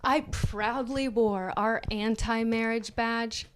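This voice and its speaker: A woman's voice: a little high, fairly even intonation, takes it slowly, clean tone. Female podcast co-host, casual comedic read-aloud, mocking tone with giggly asides.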